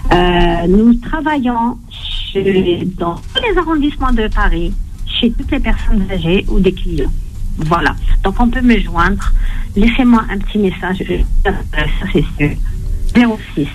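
A woman's voice: medium pitch, animated, a little slow, soft background music. A woman calling in to a radio show. Her voice comes through a muffled phone line that sounds like a cave. She talks in an earnest, apologetic way and hesitates often. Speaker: caller, home care agency manager.

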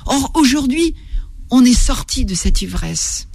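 An older woman from Algeria, a writer, talking slowly and reflectively in an interview, often stopping to search for her words. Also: high-pitched, big pitch swings, vocal fry, darkish tone, no room sound. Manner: halting, searching for words, many restarts.

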